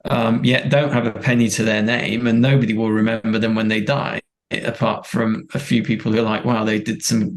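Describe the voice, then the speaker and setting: A man with a gravelly edge speaks at a middling pitch. He talks in an earnest, reflective way, often restarting sentences as he thinks. He is a performance director being interviewed on a podcast, and he grew up in Thailand and India.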